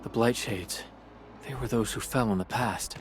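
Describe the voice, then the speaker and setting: An actor playing a steady, matter-of-fact male companion in a video game. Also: mid-range voice, normal speed, noisy background, lightly acted. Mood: grave. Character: steady, reassuring male companion in grim journey. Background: background music.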